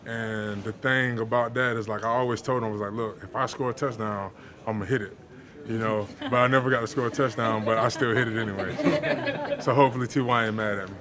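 A young man, a football player, answering reporters in a locker room after a game in a relaxed, easygoing way. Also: fairly low pitch, a little fast. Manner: relaxed, laid-back, with filler words and light laughs. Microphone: handheld reporter microphones. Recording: busy locker room crowd noise. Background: locker room chatter.

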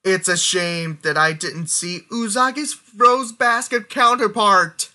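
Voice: medium pitch, takes it slowly, bright, forceful tone. A synthetic text-to-speech voice playing a playful cartoon Jedi master.

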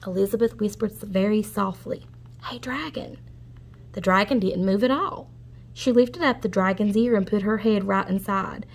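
A woman reading a storybook aloud to children in an expressive, explanatory way. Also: medium pitch, normal speed. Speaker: children's read-aloud host.

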